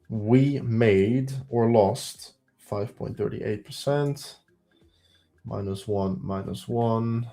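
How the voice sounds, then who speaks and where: Male streamer, heavy Belgian accent, lowish voice, unhurried, faint music underneath. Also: warm tone. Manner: unhurried, thinks aloud while counting trades. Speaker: day trading streamer. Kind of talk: live trading stream commentary.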